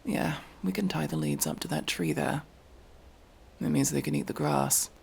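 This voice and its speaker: A man playing a guarded but kind armored adventurer in a fantasy roleplay audio drama, gravelly, dry and gently teasing. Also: fairly high pitch, wildly swooping pitch, normal speed, background noise, exaggerated voice. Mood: curious, easygoing. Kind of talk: immersive roleplay audio drama, one-sided conversation.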